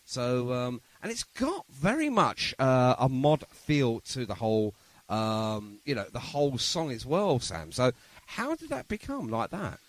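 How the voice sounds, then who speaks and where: A man's voice: medium pitch, big pitch swings, a little slow, no room sound. A man hosting a podcast in casual interview chat, with a rambling, hesitant way of phrasing his questions. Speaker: podcast host.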